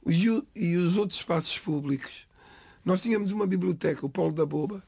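A middle-aged man from the Lisbon area whose first language is Cape Verdean Creole, giving a halting, reflective personal account in an interview. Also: high voice, creaky, darkish tone, husky, dry recording. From Portugal, Lisbon area. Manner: halting, restarts phrases, pauses between words.

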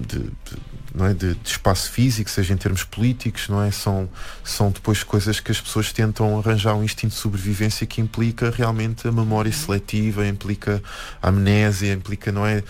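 Hesitant, low-voiced Portuguese man. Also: flat delivery, fairly quick, darkish tone. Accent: European Portuguese. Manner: hesitant, many restarts and "não é?" tags. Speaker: guest, musician and anthropologist. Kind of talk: reflective interview talk. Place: radio studio.

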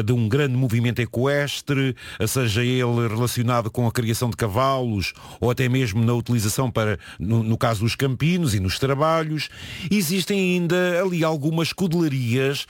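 A man's voice: medium pitch, no room sound. A man hosting a casual radio chat with a European Portuguese accent. He talks in a jovial way with many fillers and friendly asides. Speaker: radio host.

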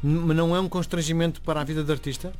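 Portuguese radio host with music bed. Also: male voice, a little high, fairly even intonation, very fast, darkish tone. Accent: European Portuguese. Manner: conversational, probing questions. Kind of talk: radio interview and weather.

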